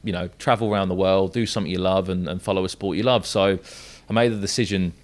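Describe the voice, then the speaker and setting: A man's voice: deep voice, fairly even intonation, fast talker. A young man from the south coast of England with a southern English accent, an esports commentator talking casually as a podcast guest. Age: young adult.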